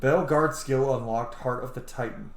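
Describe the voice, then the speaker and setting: A man's voice: mid-range voice, moderate pace, reverberant. A man giving casual, sweary, effusive let's play commentary and reading game text aloud. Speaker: gamer, roleplay streamer.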